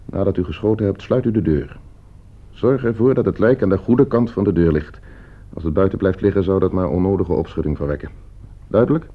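An actor playing a cool, scheming nephew in a radio drama, speaking in measured, precise and polite phrases. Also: male voice, low-pitched. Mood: coolly instructing. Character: cool, scheming nephew hiring a killer for inheritance. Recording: slightly dull radio play recording.

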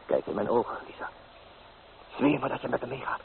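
An actor playing an impetuous, romantic young student lover, coaxing and dramatic, in a radio play. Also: male voice, mid-range voice, a little fast, gravelly. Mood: ardent, persuasive. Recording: tinny, noisy old radio play.

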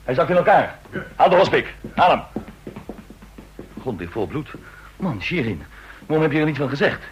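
An actor playing an excitable, concerned comrade-in-arms in a radio drama. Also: male voice, a little high, normal speed, a little hiss or hum, rough recording. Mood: alarmed, concerned.

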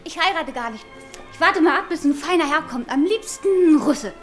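An actress playing a giddy, dreamy innkeeper's young daughter in a German radio play, excitable, girlish and snobbish. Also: high-pitched, brisk, faint music behind. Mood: dreamy defiance.

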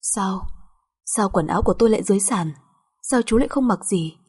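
A woman's voice: medium pitch, animated, brisk, a little mellow, husky. A woman voicing a timid young wife and mother, deferential and emotional, in an audio drama. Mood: shocked.

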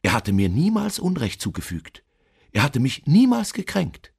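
An actor playing a nervous, obsessive madman who insists he is sane, in an intense, deliberate radio-play monologue with a husky voice. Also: medium pitch, wildly swooping pitch, darkish tone, heavily acted. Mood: brooding, confessional. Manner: intense, deliberate, with sudden emphatic swells.

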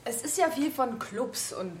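A woman's voice: a little high, lively intonation, unhurried, noisy background. An actress playing an earnest female politician who makes a plain-spoken, reasoned plea in a radio drama committee debate.

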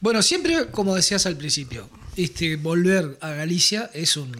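High-voiced radio co-host. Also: male voice, sing-song, moderate pace, a bit bright. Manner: hesitant, searching for words, agreeable. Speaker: radio music show co-host. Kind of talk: casual on-air chat about music.

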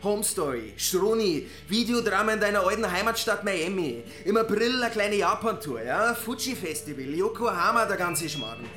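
High-voiced, cocky Bavarian band mate. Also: male voice, lively intonation, moderate pace, few pauses, bright, forceful tone, reverberant, soft background music. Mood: boastful, excited. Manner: colloquial, punchy, tags sentences with questioning tics. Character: cocky, slangy male band mate, excitable small-time rocker. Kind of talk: radio drama acting.

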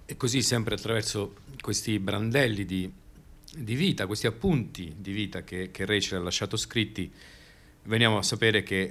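Hesitant man with a low voice. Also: fairly bright tone. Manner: hesitant, searching for words, repeats himself. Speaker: radio presenter introducing dramatic readings. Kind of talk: unscripted spoken commentary.

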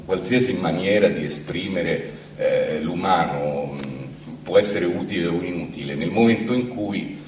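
A man speaking as a film critic, giving an informal, wry and halting introduction to an audience. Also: low voice. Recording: echoey room, distant mic, audience noise.

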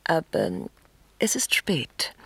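An actress playing a commanding, scheming politician's wife in a 1960s radio play, measured and suggestive. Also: female voice, low voice, big pitch swings, gravelly, a bit bright, slightly rough, no room sound, heavily acted. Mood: calculating.

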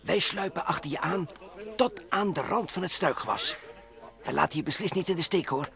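A man's voice: fairly high pitch, moderate pace, vocal fry, fairly bright tone. A man playing a trusting, easygoing and bold adventurer in an old radio play, with a dismissive, reassuring way of talking. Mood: calm, resolute.

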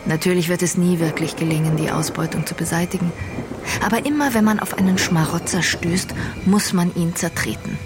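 Lowish female voice, young, cool, sharp-minded, deliberate, resolute, radio crime drama, acted by a woman, music behind. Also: lightly acted. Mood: coolly analytical. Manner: deliberate, analytical, decisive.

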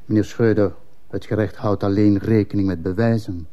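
An actor playing a stern, probing male police commissioner in a radio play, questioning in a formal, measured manner. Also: lowish voice, steady tone, dull audio, lightly acted. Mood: stern, pressing. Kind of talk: Dutch-language radio drama acting.

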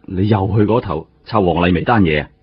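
An actor playing a world-weary veteran police detective in a radio drama, with a Hong Kong Cantonese accent and a drawling, resigned, defensive manner. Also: male voice, low voice, fast, slight background noise, natural voice. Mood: questioning. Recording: muffled radio drama recording. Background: music.

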